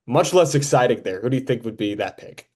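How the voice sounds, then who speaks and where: A man's voice: medium pitch, animated, brisk, a little mellow. A young man hosting a podcast, talking sports in a casual, conversational way.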